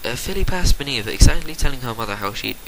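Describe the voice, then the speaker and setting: A man reading fan fiction as a dramatic narration, theatrical and swinging between hushed and forceful, on an amateur home recording. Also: medium pitch, animated, fast, background noise. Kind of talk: dramatic storybook-style narration.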